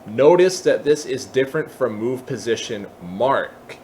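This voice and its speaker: Man with lively pitch walking through a tutorial. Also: a little slow, a little hiss or hum. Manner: thinking aloud, openly tentative about details. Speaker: tutorial presenter. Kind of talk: software tutorial walkthrough, informal explanation.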